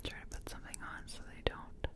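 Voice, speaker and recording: A woman doing soft-spoken ASMR roleplay as a makeup competition contestant, half-whispering in a hesitant, chatty, self-effacing way. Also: quick pace.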